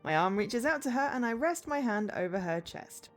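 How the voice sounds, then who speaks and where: A woman's voice: a little high, expressive, moderate pace, soft background music. A woman game streamer chatting live and reading game text aloud, breaking off for exasperated asides.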